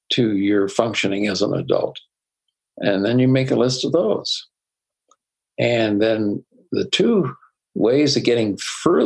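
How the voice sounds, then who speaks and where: An older man, a psychologist guest, telling personal stories in a reflective, unhurried way with soft laughs. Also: medium pitch, vocal fry, slightly rough, poor audio. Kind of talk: conversational interview storytelling.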